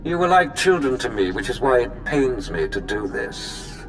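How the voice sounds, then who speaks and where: A man's voice: mid-range voice, big pitch swings, normal speed, music in the background, natural voice. An actor playing a falsely fatherly cult tyrant in a video game, pompous and mock-tender, drawing out his words. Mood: mock sorrow, menace.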